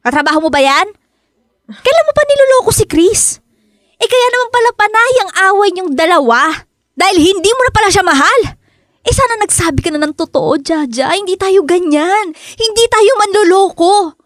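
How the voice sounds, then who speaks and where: High-pitched, pushy young Filipina bride-to-be. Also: female voice, no room sound, studio quality. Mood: shocked, scolding. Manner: nagging, expressive, quick to press questions. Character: insistent, teasing young bride-to-be, best friend. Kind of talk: Tagalog radio drama acting.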